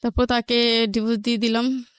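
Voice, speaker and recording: High-voiced Bangladeshi woman telling her story. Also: level tone, brisk, clean tone, no room sound. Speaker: interview guest. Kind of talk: personal life storytelling in an interview.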